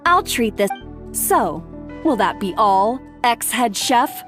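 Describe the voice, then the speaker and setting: A woman's voice: a little high, very animated, slow, fairly bright tone, soft background music, exaggerated voice. A woman voicing a haughty, defensive rival chef in a video game, with a sneering, theatrical delivery. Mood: smug. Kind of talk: video game story acting.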